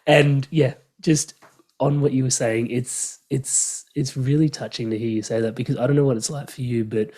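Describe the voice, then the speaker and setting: A man's voice: medium pitch, steady tone, moderate pace. A man hosting a casual podcast interview, enthusiastic and effusive as he introduces his guest. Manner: enthusiastic, warm-hearted and effusive. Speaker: podcast host.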